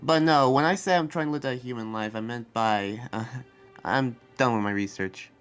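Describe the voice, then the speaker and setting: Casting: man as sheepish male demon slayer researcher, mid-pitched, halting delivery, anime Minecraft roleplay, faint music. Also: a bit bright, clean tone, lightly acted. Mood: earnest, sheepish.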